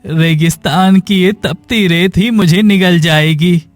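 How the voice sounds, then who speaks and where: A man plays a poor, sick hunchback in a cartoon tale. His high voice drags slowly with plaintive despair. The delivery is melodramatic, with faint music underneath. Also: steady tone, no room sound. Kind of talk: dramatic Hindi cartoon story dialogue.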